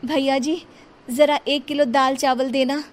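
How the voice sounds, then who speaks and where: A woman's voice: high-pitched, steady tone, unhurried, slight background noise, pitched above the performer's own voice. A woman voicing a young pregnant widow who pleads tearfully. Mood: pleading.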